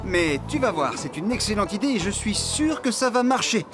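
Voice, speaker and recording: Hapless farmhand, excitable, boastful, swooping pitch, exaggerated children's cartoon voice, music behind. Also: male voice, normal speed. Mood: eager, confident. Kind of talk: French children's cartoon dubbing. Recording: music under the voice.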